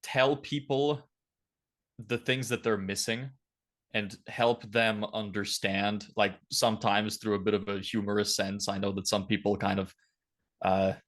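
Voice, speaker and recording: A man's voice: on the low side, little pitch movement, a little slow, a bit bright. A twenty-year-old man from Lithuania giving blunt, opinionated, casual answers as a podcast guest.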